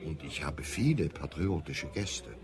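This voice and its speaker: An actor playing a chatty, matter-of-fact waiter in a radio drama. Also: male voice, very deep voice, expressive, unhurried, heavily acted. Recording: busy crowd murmur behind.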